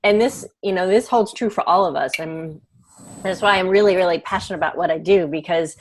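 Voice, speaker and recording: A woman's voice: lowish voice, brisk. A woman hosting a women's group video call, explaining habits and the brain in an earnest, encouraging way. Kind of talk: coaching talk and group facilitation.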